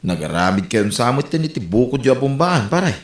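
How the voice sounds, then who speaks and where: A man's voice: mid-range voice, big pitch swings, a little fast, roomy sound, natural voice. A man with an Ilocano accent playing a friendly, gossipy village man who hands out advice. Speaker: actor in a comedy skit. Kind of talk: comedy skit acting.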